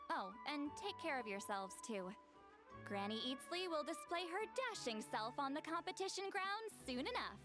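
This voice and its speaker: A woman playing a proud, teasing, dignified elder in a video game, speaking with wry confidence. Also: sits high. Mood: confident. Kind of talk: video game character acting.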